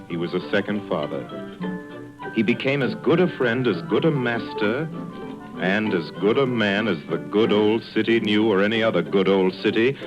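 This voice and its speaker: A man narrates a radio drama in dramatic storytelling style. Music plays clearly behind him, and the recording is slightly dull. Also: lowish voice.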